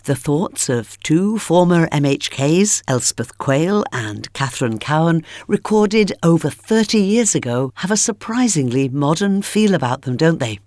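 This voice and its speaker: A woman's voice: low voice, lively intonation, normal speed, hardly any pauses, darkish tone, dry recording. A woman presenting a radio programme from a script, recorded in a studio. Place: dry studio.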